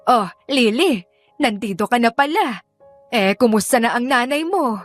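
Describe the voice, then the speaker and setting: Woman playing a motherly older housekeeper, high voice. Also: expressive, measured pace, no room sound, faint music behind. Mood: welcoming. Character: kindly older female head housekeeper, welcoming, brisk. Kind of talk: dramatized audiobook dialogue.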